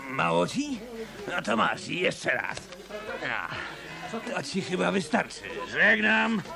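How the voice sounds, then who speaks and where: A man's voice: high-pitched, big pitch swings, takes it slowly, creaky, music in the background, exaggerated voice. A male actor playing an arrogant, violent bandleader with a contemptuous manner in a radio play. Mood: contemptuous, menacing. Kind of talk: Polish radio play acting.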